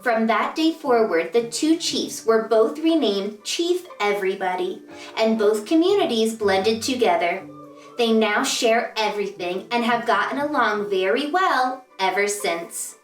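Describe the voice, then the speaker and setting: A woman reading aloud to children as a story-time host, with an expressive, deliberate storytelling delivery. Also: on the high side. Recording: roomy echo, distant mic, music behind.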